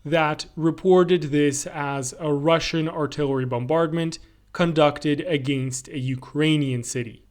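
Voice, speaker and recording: A man delivering an emphatic commentary monologue, speaking earnestly and pressing his points firmly. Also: a little high. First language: not German. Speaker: political commentator.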